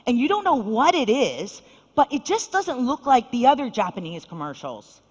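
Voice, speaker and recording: A woman's voice: a little high, very animated, moderate pace, slightly rough. A middle-aged woman moderating a conference panel, speaking upbeat and directly to the audience. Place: conference hall stage. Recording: long reverb of a large hall.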